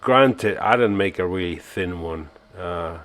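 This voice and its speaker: A man from Argentina, narrating his woodturning work in a relaxed, self-mocking voice-over with many fillers. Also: low-pitched, unhurried. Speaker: woodturning channel host.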